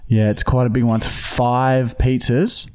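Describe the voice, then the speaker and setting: A man in his thirties with an Australian accent, chatting casually and deadpan as a prank-calling podcast host on a close studio microphone. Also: mid-range voice, unhurried, a little hiss or hum. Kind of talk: casual, deadpan phone chat. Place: small podcast studio, indoors. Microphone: close boom-arm studio microphone with headset.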